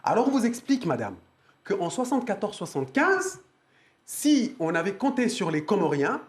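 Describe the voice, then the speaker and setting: A man's voice: sits high, sing-song, moderate pace. A man insisting on his point in a televised debate, repeating himself. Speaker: debate guest.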